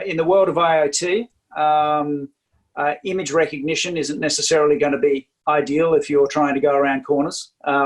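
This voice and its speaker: Australian man, hesitant and fairly high. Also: poor audio. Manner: hesitant, many ums and uhs. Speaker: guest. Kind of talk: casual interview.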